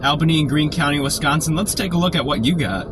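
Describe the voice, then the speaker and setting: A man with a sore throat from a cold, keeping his voice quiet and low while chatting casually on a live stream from inside a parked car. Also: fast. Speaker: storm chaser live-streaming. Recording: noisy car interior. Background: car and outdoor traffic noise.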